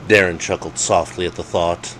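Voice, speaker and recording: Male narrator, low register, wry storyteller reading spooky comedy, slight noise behind the voice. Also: fairly even intonation, moderate pace, a bit bright, low-quality recording. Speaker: author reading own story.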